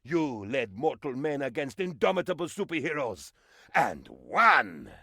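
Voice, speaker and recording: Video game advisor: fawning, melodramatic right-hand man of an island leader, lively swooping delivery, dry close sound. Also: mid-range voice, a little slow, husky, dry recording, full character voice. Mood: triumphant, awed. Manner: theatrical, flattering, melodramatic. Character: excitable, fawning male presidential advisor on tropical island. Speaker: video game character voice actor. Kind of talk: animated video game voice lines.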